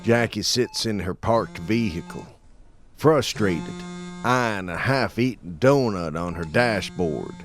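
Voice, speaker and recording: A man's voice: fairly low pitch, expressive, slow pace, soft background music. A man reading scripted scene narration for an audio drama.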